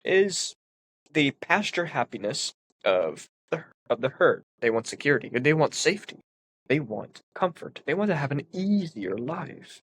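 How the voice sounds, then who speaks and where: A man's voice: on the high side, big pitch swings, normal speed, a bit bright, a little hiss or hum. A man with a congested, sniffly voice, reading a philosophy text aloud and explaining it in an earnest way with emphatic stresses. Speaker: philosophy commentator.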